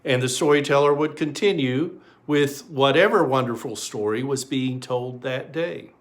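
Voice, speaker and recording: A man reading a children's story aloud in an expressive way, with deliberate pauses. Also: mid-range voice.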